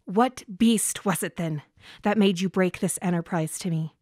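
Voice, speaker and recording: A woman's voice: on the low side, moderate pace, darkish tone, dry recording, studio quality, natural voice. An actress playing a ruthless, ambitious noblewoman, goading and commanding in Shakespearean verse for a radio drama. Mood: goading, fierce. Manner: scornful, commanding verse delivery. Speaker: actor.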